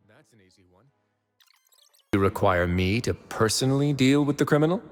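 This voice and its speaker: A wry male warden from a fantasy game, acted by a man, talks in a dry, nonchalant way. His pitch is middling and faint music plays underneath. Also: lively intonation, moderate pace, lightly acted. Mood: wry, unruffled.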